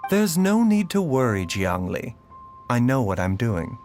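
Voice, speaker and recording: A man playing a gentle, composed physician in a video game, speaking in a measured, polite and reassuring way. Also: medium pitch, sing-song, music in the background. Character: gentle, composed, self-sacrificing male physician. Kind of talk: dramatic video game voice acting.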